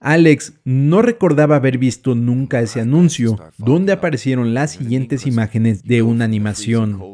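A man's voice: medium pitch, warm tone. A man giving scripted, suspenseful storytelling narration as he explains a horror tale.

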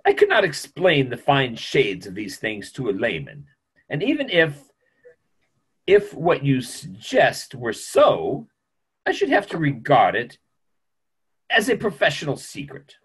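A middle-aged man playing a careworn, formal family doctor in an online play reading, with measured, hesitant phrasing. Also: medium pitch, wildly swooping pitch, slightly rough, heavily acted, poor audio. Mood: guarded, indignant. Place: small room at home, video call.

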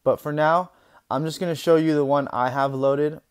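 Young guy doing a laid-back screen tutorial, smooth clear voice, chatty, keeps saying boom. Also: moderate pace. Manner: relaxed, conversational, says "boom" and "guys" often. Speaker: software tutorial host. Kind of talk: casual screen-recorded software tutorial.

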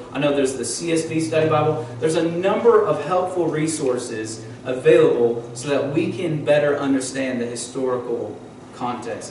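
A man in his thirties preaches at a church pulpit, asking his congregation questions as he teaches. His voice sits a little high for a man. It carries through a very echoey sanctuary, caught by a mic set some way off, with a faint background hiss. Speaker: pastor. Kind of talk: sermon. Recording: echoey church hall from far off.

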